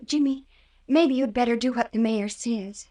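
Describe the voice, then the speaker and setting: A woman's voice: fairly high pitch, moderate pace, warm tone, lightly acted. An actress playing a sweet, anxious young American woman with a girlish, hesitant manner, in 1940s radio style. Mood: anxious, pleading.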